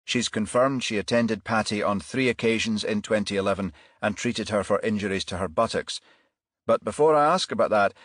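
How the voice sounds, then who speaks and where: A man voicing a stern, methodical police detective in an audiobook, formal and measured as he presses his questions. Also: fairly low pitch, a bit bright, no echo, studio quality.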